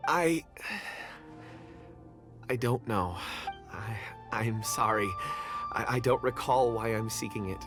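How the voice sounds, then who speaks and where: A man's voice: medium pitch, slow, long pauses, natural voice. An actor playing a forgetful, anxious wandering man in a game, hesitant and stammering. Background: game music.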